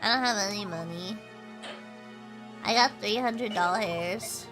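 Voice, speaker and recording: A woman's voice: mid-range voice, a little slow. A young woman streaming a game, chatting casually and rambling with lots of fillers, her nose stuffy from allergies. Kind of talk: casual gameplay stream chat. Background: game music.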